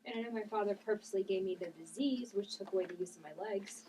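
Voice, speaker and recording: A determined young woman read by a woman with a medium-pitched voice. It is a drama table read with faint noise behind it. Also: fast talker, warm tone, natural voice, low-quality recording. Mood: troubled.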